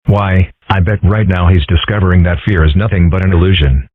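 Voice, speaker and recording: A man's voice: low voice, fairly even intonation, brisk, slightly rough, dry recording. A man voicing an earnest, philosophical young male fox in a comic dub, with a thoughtful, dry, articulate manner. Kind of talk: comic strip dub, character acting. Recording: muffled, dull, dry audio.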